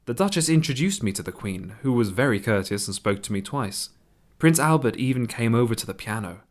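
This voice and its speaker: A man playing a discreet, courteous gentleman with formal delivery in a radio play. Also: mid-range voice, animated, brisk, close to an everyday voice. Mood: courteous, composed. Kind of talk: scripted radio play, formal letter reading. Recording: studio radio play with music behind. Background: music.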